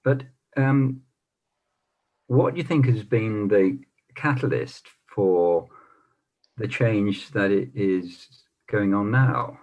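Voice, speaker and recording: A man's voice: fairly low pitch, slow pace, long pauses, darkish tone. A man who stammers, chairing a panel discussion over a video call and asking questions with hesitations and fillers. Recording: dull lo-fi video call audio.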